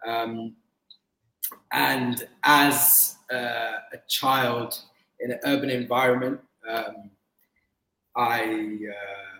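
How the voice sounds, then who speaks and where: A man's voice: mid-range voice, fairly even intonation, extremely slow, long pauses, bright, forceful tone, roomy sound, slight background noise, rough recording. A man from London, a guest in an interview, talking reflectively and hesitantly with many fillers. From London, England. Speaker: interview guest, business owner. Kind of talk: casual interview conversation.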